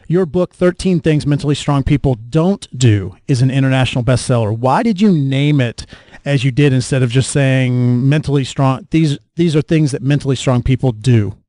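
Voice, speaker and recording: A man's voice: mid-range voice, normal speed, warm tone, no echo, clean studio sound. A man hosting an interview podcast, asking wordy, roundabout questions in a conversational style.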